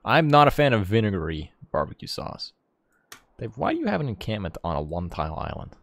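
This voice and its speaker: A man's voice: fairly low pitch, sing-song, fast talker, vocal fry, a bit bright. A man chatting casually as he hosts a game livestream, jokey and opinionated, on a close mic. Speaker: gaming streamer and host.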